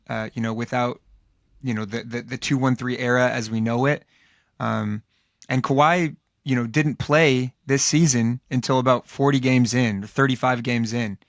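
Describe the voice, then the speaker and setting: Hoarse male podcast co-host, rambling and filler-heavy, dry close mic. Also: medium pitch, dry recording. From Los Angeles area, United States. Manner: loose, rambling, with many fillers and restarts. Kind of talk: casual sports podcast talk.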